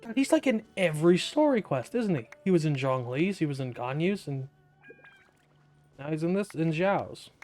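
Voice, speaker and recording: A man's voice: fairly high pitch, big pitch swings, moderate pace, darkish tone, faint music behind. A man giving casual, sceptical commentary as he plays through a video game, with wry asides. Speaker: gaming streamer.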